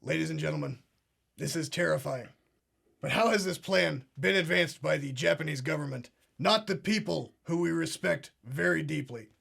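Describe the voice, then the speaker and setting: An actor playing a pompous conspiracy talk show host, earnest and solemn in a deadpan parody. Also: male voice, fairly high pitch, normal speed, creaky, heavily acted. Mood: smug earnest.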